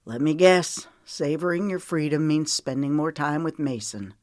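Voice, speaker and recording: A protective, weary man in an audiobook drama, acted out by a female narrator. He is tender yet stern, lecturing earnestly in a low voice, recorded dry. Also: normal speed, a little mellow, dry recording, natural voice. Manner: earnest, lecturing, folksy storytelling.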